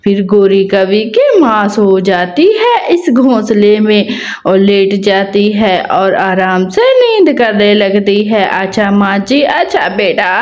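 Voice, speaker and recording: Slow, animated woman storyteller. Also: mid-range voice. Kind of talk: scripted children's story narration.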